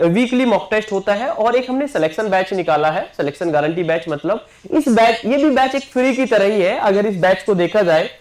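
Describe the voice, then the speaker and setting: A man speaks as an exam coaching teacher addressing his students. He repeats key points and keeps checking in with his listeners. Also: high-pitched. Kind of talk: promotional class announcement.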